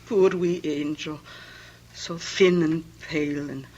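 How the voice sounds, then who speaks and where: An actress playing a tender, fretful aunt in an old radio drama, plaintive and halting in manner. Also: female voice, low-pitched, fairly even intonation, a little mellow, dull audio. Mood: grieving. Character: tender, fretful Scottish aunt, sorrowful and devoted. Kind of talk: old-time radio horror drama acting. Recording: old radio recording with faint noise.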